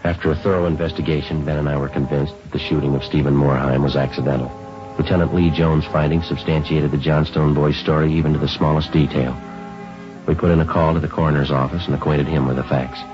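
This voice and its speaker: An actor playing a calm, businesslike police sergeant in an old radio drama, clipped and matter-of-fact. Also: male voice, a bass voice, quick pace. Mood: flat, matter-of-fact. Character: calm, businesslike police sergeant who narrates his cases. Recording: dull old radio recording, music.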